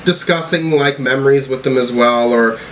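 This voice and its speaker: A man talks through an album reaction in a casual, rambling way. His voice sits at a medium pitch, with swearing and frequent check-in phrases, over faint background noise. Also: normal speed, a bit bright. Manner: rambling, profane, frequently checking with "right?" and "you know". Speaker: music reaction reviewer.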